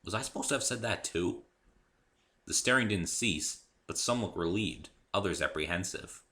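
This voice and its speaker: Deep, very bright male story narrator. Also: expressive, moderate pace. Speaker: amateur reader and author of the story. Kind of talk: dramatic first-person story narration.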